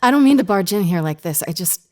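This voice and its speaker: A woman's voice: mid-range voice, animated, lightly acted. A woman playing an anxious, earnest grief counselor in an audio drama, speaking in a hesitant, insistent way. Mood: anxious, urgent. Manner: hesitant, halting, earnest pleading.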